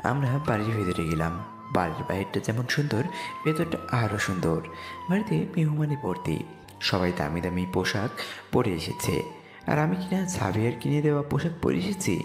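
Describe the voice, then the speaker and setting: A man's voice: fairly low pitch, sing-song, moderate pace, gravelly. A young man narrating a dramatised story in the first person. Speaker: narrator and lead voice actor. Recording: echoey reverb with music behind.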